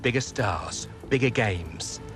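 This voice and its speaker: A man's voice: fairly low pitch, expressive, takes it slowly. A man playing a persuasive, pushy football agent in a video game cutscene, with music behind. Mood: enticing. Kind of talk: cinematic game cutscene acting.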